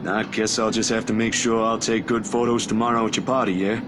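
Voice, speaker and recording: A man's voice: on the low side, little pitch movement, fairly quick, natural voice. Earnest young photographer Zach played by a man, over music. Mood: modest, hesitant. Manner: hesitant, self-effacing. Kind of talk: visual novel voice acting. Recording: music behind the voice.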